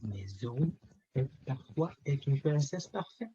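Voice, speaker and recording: A man reading a children's story aloud in an expressive, theatrical way with emphatic phrasing. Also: mid-range voice, slow, slightly rough, muffled recording. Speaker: storybook reader.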